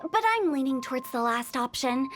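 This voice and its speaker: A woman's voice: high-pitched, animated, normal speed, a bit bright, soft background music. A woman voicing a playful, teasing young funeral parlor director who speaks with confidence.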